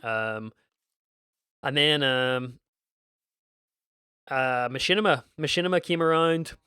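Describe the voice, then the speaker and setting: Man, 30s, Northern Irish accent with slight American twang, casual gaming commentary, meandering, middle pitch, dry close mic. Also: takes it slowly, bright, forceful tone, clean tone, no room sound. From Northern Ireland. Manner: meandering and reminiscent, frequent restarts. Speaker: gaming YouTuber.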